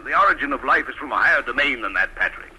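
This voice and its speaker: An actor playing a solemn, moralizing doctor and family friend in a 1940s radio drama, speaking in a measured manner. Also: male voice, medium pitch, lively intonation, pushed and bright. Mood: grave, reproachful. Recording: noisy old 1940s radio recording.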